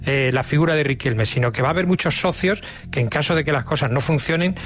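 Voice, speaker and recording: A man's voice: on the high side, quick pace, a bit bright, faint music behind. A man analysing football on a live panel, insistent and restating his point. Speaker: sports pundit on a panel.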